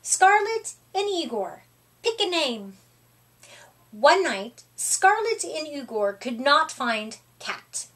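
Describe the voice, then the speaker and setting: Woman reading a picture book, high lively voice. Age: middle-aged. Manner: expressive, deliberate storytelling for young children. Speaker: storyteller. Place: small room, indoors.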